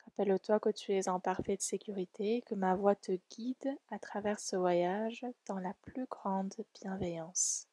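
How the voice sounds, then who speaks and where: A woman leading a guided relaxation meditation in a soft, soothing, gentle and unhurried way. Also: mid-range voice, steady tone, no echo. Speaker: yoga nidra guide.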